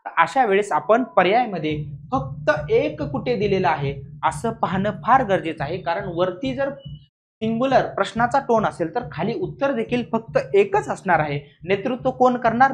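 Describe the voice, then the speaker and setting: A man with a Maharashtrian accent explaining exam questions like a classroom coach, repeating key points emphatically and often checking that students follow. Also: sits high. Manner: repetitive, emphatic, frequently checking understanding with questions.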